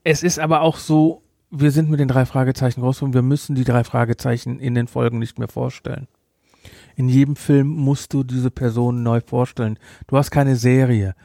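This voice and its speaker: A man hosting a podcast, giving a casual review in a blunt, emphatic, halting way. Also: medium pitch, fairly even intonation, gravelly, mellow, dry recording, clean studio sound. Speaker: podcast host.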